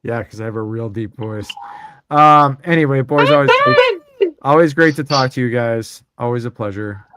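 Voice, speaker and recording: A man leads a casual chat about collectible figures. He talks in a relaxed, meandering way, teasing his co-hosts along the way. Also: mid-range voice, normal speed. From Chicago suburbs. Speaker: podcast co-host.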